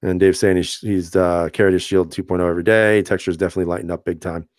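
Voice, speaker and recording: Man, deep voice, casual live-stream host, relaxed chatty delivery, dry close-miked sound. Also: fast talker, no echo. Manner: relaxed, chatty, laughs often, many fillers and restarts. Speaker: channel host reading live chat.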